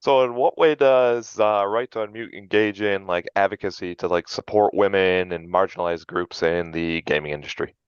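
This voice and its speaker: A man hosting a podcast, relaxed and casual, asking his guests questions. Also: low voice, moderate pace, hardly any pauses, clear, resonant tone, dry recording. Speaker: podcast host. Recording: dull lo-fi mic.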